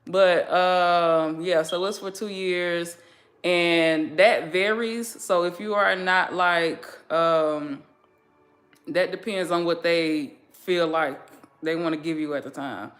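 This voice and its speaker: Chatty bright-voiced woman vlogger. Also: fairly low pitch, steady tone, slow pace. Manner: chatty, laid-back, lots of fillers and slang. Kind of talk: casual chat with a friend.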